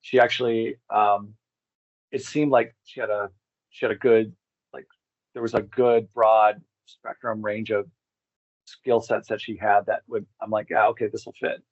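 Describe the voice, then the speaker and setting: A man's voice: lowish voice, little pitch movement, unhurried, no room sound, muffled recording. A man talking casually as an interview guest, rambling and circling back to restate his points. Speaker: guest, expedition group co-founder.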